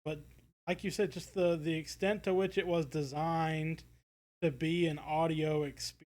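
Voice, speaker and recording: A man's voice: fairly high pitch, steady tone, a little slow, a little mellow. An American man co-hosting a podcast in casual discussion, feeling his way through his thoughts in hesitant, circling phrases. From United States. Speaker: podcast co-host.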